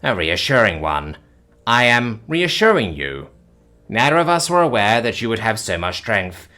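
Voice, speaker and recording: Male narrator voicing an alien soldier, low-set, deadpan, formal. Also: moderate pace, soft background music. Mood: calm, detached. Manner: flat, formal, deadpan delivery. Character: calm armored male alien, blunt, unemotional.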